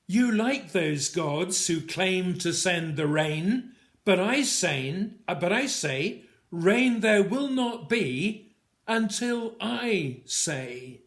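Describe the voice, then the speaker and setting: A man reads his own narrative Bible poem in a measured, deliberate way, in short phrases set apart by pauses. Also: sits high, animated. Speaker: poet reading own work. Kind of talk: dramatic poetry recitation of a Bible story.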